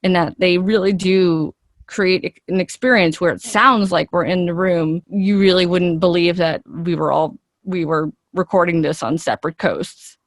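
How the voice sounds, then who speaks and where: A woman's voice: on the low side, moderate pace, no room sound, studio quality. A woman, an actress, chatting casually and expressively as an interview guest.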